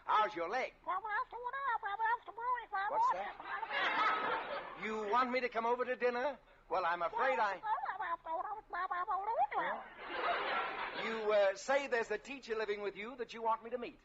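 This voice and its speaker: Blustery high-pitched male school principal. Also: lively intonation, normal speed, long pauses. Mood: brisk, officious. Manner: pompous, clipped formal indignation. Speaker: actor in radio sitcom. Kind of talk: old-time radio comedy acting. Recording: dull, noisy old radio recording.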